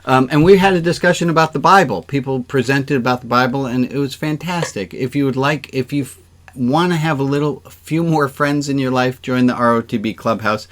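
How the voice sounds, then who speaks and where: A man hosts an online service as its rabbi, at an average pitch. He gives announcements informally and chattily, dropping in self-joking asides as he talks to people on a video call. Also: brisk, a bit bright.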